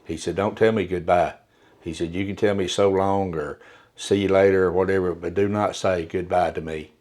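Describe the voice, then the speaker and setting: Soft-spoken older man, very deep voice. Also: steady tone. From Appalachia. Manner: soft-spoken, halting with many restarts. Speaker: undertaker. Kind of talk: reflective interview talk.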